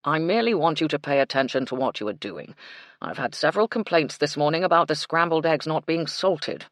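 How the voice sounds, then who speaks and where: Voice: mid-range voice, lively intonation, a little fast, dry recording, studio quality, natural voice. A narrator voicing a stiff, formal male hotel manager who speaks in a brittle, proper way.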